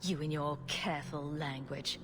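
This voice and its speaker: Woman as a wry, low-voiced adventurer heroine. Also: steady tone, moderate pace, close to an everyday voice. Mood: sardonic. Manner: dry, sardonic, direct. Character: wry, confident young female adventurer hero. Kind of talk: video game acting. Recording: loud ambient noise behind.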